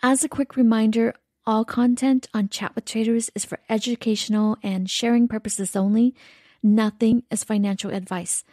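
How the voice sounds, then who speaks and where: A woman co-host reading a scripted podcast introduction at a measured pace. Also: a little high, fairly even intonation, dark tone, no echo, studio quality.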